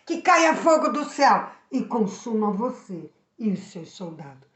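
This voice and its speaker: A woman's voice: on the high side, big pitch swings, a little slow. A Brazilian woman voicing a stern male prophet who solemnly pronounces judgement in a children's Bible story. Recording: echoey room.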